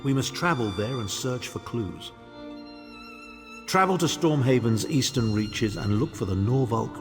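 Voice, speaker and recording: A haughty fantasy-game nobleman, acted out by a man, who speaks with pompous disdain and scheming self-importance. His voice rings in a long reverb over clear background music. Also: medium pitch, lightly acted. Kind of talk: theatrical fantasy game dialogue.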